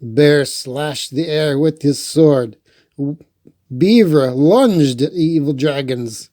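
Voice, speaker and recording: A man's voice: a little high, slow, dark tone. A man hosting storytime, reading a children's book and adding folksy, chatty asides. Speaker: storyteller and host.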